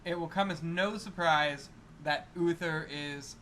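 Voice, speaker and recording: A man's voice: on the high side, unhurried, sharp tone. A man co-hosting a casual gaming podcast with wry, teasing banter. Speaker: podcast co-host. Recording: noisy amateur podcast recording.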